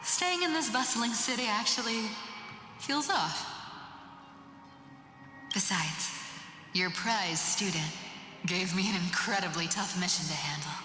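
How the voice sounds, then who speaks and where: Sly, lively, teasing adventurer in echo. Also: female voice, medium pitch, unhurried, long pauses, faint music behind. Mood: breezy. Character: playful, teasing, worldly young woman, long-lived roaming adventurer. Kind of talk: video game voice acting. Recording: echoey hall reverb.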